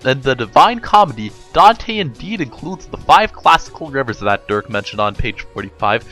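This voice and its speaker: A man's voice: mid-range voice, a bit bright. A man voicing an articulate, vengeful pony who explains things with authority in a storybook reading. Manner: formal, explanatory, occasionally profane.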